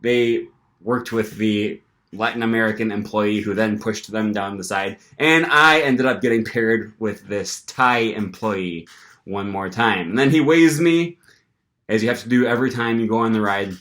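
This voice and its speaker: A young man vlogging casually, telling an animated story with lots of fillers and restarts. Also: lowish voice, normal speed. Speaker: language-learning vlogger.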